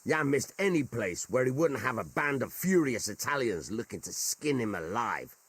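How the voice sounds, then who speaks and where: Husky, rough-spoken mercenary. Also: male voice, medium pitch, very animated, moderate pace, fairly bright tone, no echo. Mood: amused. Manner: colloquial, rustic, earthy storytelling. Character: rough, jovial, plain-spoken veteran mercenary. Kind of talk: dramatic video game cutscene dialogue.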